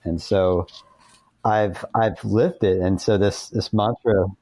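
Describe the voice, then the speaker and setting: A man's voice: lowish voice, steady tone, slow, warm tone, no room sound, rough recording. A man speaking as an author guest in a conversational interview, informal and self-deprecating.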